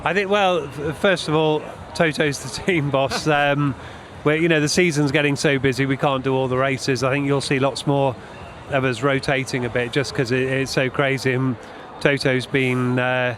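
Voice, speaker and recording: A male engineering chief answering interview questions outdoors in a measured, matter-of-fact way. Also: medium pitch, steady tone, fairly bright tone. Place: outdoors at race paddock. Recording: noisy outdoor paddock ambience.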